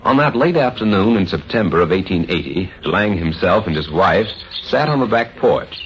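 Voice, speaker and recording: Male American narrator, lowish lively voice, 1950s mystery radio storytelling, muffled vintage sound. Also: a little hiss or hum. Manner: suspenseful, dramatic, measured storytelling. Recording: dull vintage radio, faint music behind.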